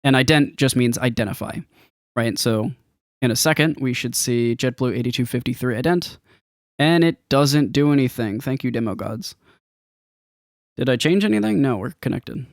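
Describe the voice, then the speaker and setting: A man's voice: medium pitch, expressive, moderate pace, no echo, studio quality. A man narrating a game demo in an informal tutorial style, rambling a little as he thinks aloud. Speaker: game developer demonstrating own game. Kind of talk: casual screen-recorded software demo and tutorial commentary.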